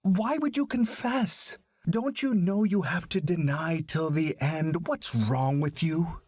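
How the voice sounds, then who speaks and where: A man's voice: fairly high pitch, takes it slowly, a little mellow, no room sound, voice pushed up. A man voicing an arrogant, blustering father who rants indignantly in a story. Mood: angry accusing. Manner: ranting, indignant.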